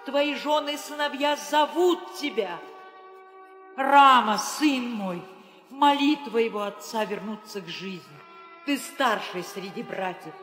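A woman's voice: fairly high pitch, fairly bright tone. An actress playing a grieving, commanding queen and mother, declaiming solemnly in an old theatrical audio drama. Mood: anguished pleading. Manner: declamatory, drawn-out. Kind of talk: 1960s Soviet theatrical audio drama acting. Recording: old reverberant studio recording with music.

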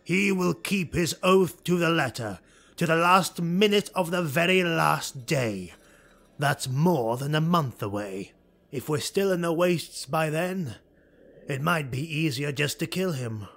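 A man's voice: fairly high pitch, lively intonation, vocal fry, fairly bright tone, voice pushed up, heavily acted. A man voicing a cold, scheming elf lord. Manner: calculating, measured, commanding. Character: cold scheming dark elf nobleman, male.

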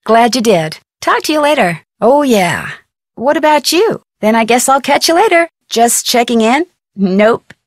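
A woman's voice: high voice, fairly bright tone, no echo, clean studio sound. A woman voicing a plucky, inquisitive young amateur detective with an American accent in an adventure game, chipper and conversational. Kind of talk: scripted adventure game dialogue.

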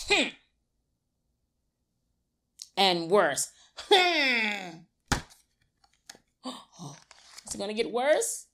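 Woman, children's story reader, lively swooping intonation, extremely unhurried, exaggerated, coaxing young listeners. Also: mid-range voice. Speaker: children's read-aloud teacher. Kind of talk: animated storybook read-aloud for young children. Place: small colorful classroom-like room, indoors.

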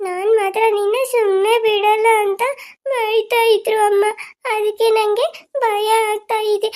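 Sobbing little orphan girl, played by a woman: very high voice, slow pleading words. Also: flat delivery.

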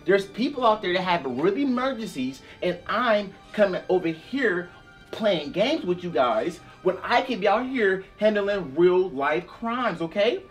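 A man's voice: high-pitched, sing-song, unhurried, faint music behind. A young man playing a stern police officer in a family skit, lecturing and giving repeated commands outdoors. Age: young adult. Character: stern male police officer scolding mischievous kids. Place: outdoors in a backyard.

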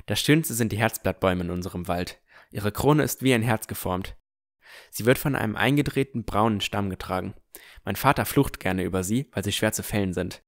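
A man playing an earnest, curious boy who narrates a fantasy audio drama in a measured, descriptive way. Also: fairly low pitch, no room sound, clean studio sound, close to an everyday voice. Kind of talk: scripted first-person audio drama narration.

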